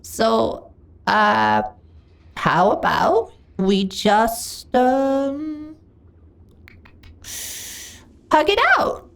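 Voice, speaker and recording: A woman's voice: a little high, drawn-out words, vocal fry, exaggerated voice. A woman voicing a lonely, hungry slime monster girl in a fantasy audio roleplay, theatrical and prone to giggling and stammering. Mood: nervous, pleading.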